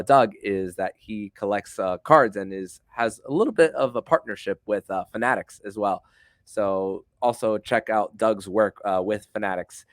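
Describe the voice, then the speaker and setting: A man's voice: lowish voice, no room sound, clean studio sound. A man hosting a sports podcast in an emphatic, wordy way. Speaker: podcast host.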